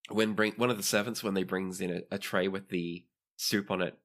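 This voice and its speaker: A man with a deep voice runs a tabletop role-playing game. He narrates scenes as game master in a conversational, descriptive storytelling style. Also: fairly even intonation, a little fast, a bit bright.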